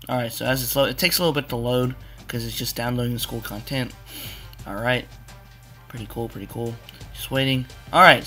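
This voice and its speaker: A young man with a hoarse, cracking voice, rambling casually through a video game walkthrough with many fillers. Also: medium pitch, a bit bright. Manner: rambling, repeats "you wanna" with fillers. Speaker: gaming tutorial maker. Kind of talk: casual screen-capture walkthrough.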